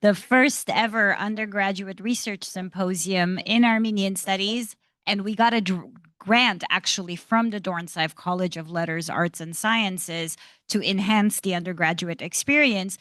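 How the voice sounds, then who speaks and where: A woman panelist addressing students with articulate enthusiasm. Her pitch sits in a middle range, and the sound is dry and close, with no room echo. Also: normal speed, a bit bright. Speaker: institute director, panelist. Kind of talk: panel discussion answer. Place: lecture hall panel event.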